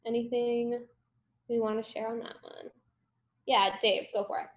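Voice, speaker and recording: A woman's voice: a little high, little pitch movement, normal speed, highs cut off. A young woman leading a workshop discussion over a video call from home, upbeat and moving things along. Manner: upbeat, moves things along with "cool" and "great". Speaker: workshop instructor. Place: room at home. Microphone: video call on a laptop. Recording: echoey room.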